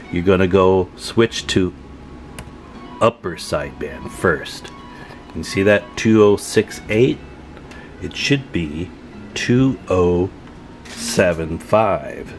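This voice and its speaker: A man with a low voice talks through a repair job step by step. His delivery is informal and folksy, like someone explaining while his hands are busy. Faint music sits behind him. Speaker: radio repair tutorial host. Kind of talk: casual hands-on tutorial narration.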